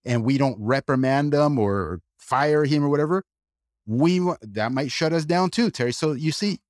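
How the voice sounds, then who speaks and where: Animated argumentative man, dry sound. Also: fairly high pitch, dry recording. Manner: animated, argumentative, quick asides with restarts. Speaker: podcast co-host. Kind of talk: casual opinionated discussion.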